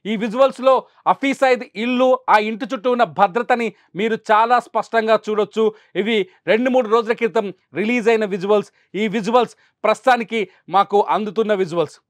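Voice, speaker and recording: A man's voice: sits high, a little fast, no echo. A man presenting news commentary emphatically, repeating phrases for rhetorical weight.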